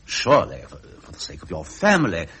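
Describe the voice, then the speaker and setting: An actor playing a tactful, anxious Prime Minister in a period drama, measured and deferential, with an upper-class English accent. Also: male voice, deep voice, wildly swooping pitch, fairly bright tone, slightly rough, heavily acted. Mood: pleading. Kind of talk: period British broadcast drama acting.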